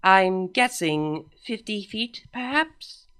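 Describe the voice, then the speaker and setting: A man voicing a formal, unbothered princess mare in a read-aloud story, speaking slowly and dismissively. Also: sits high, lively intonation, a bit bright, smooth, voice pushed up, exaggerated voice. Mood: musing.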